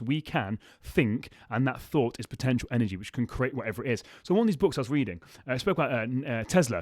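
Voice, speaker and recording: Fast-talking podcast host, slightly croaky. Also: male voice, mid-range voice, dry recording, clean studio sound. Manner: rambling, self-correcting, motivational. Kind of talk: solo podcast monologue.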